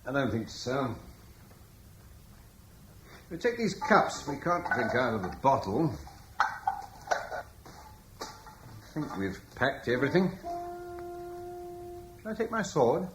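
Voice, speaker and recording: An actor playing a humble, devoted soldier husband in a radio drama, plain-spoken and questioning in an understated way. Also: male voice, mid-range voice, sing-song, takes it slowly, long pauses, noisy background. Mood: calm, lighter.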